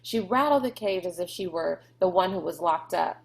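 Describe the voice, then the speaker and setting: A woman's voice: on the low side, animated, normal speed, gravelly. A woman reading a storybook aloud as narrator. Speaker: read-aloud reader.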